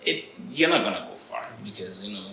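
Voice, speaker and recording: Young man, Malawian accent, relaxed interview chat, low-ish bright voice, echoey room. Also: brisk, gravelly, slight background noise. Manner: relaxed, laughing, repeats words when starting. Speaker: musician guest.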